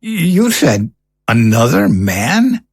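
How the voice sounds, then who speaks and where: An actor playing a stressed, bewildered husband in an audio drama, stammering and exasperated. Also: male voice, on the high side, wildly swooping pitch, slow pace, gravelly, husky, exaggerated voice. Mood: incredulous, rattled.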